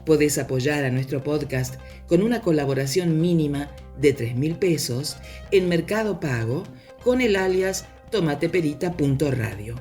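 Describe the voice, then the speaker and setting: A woman's voice: low-pitched, lively intonation, normal speed, warm tone. An Argentine woman reading a scripted promotional announcement for a podcast. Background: guitar music.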